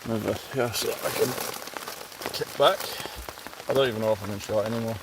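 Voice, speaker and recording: A man with a Scottish accent softened by years in Cornwall and Canada, chatting relaxed and laughing easily at an outdoor camp. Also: fairly low pitch, normal speed. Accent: Scottish, mixed with time in Cornwall and Canada. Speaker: outdoor vlogger. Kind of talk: casual chat by a campfire. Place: woodland camp outdoors in heavy rain. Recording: rain noise outdoors.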